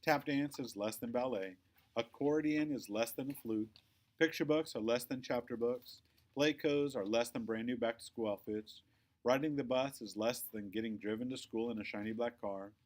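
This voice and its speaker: A man reading a children's story aloud with expressive, dramatic phrasing. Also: mid-range voice, moderate pace. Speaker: audiobook-style reader.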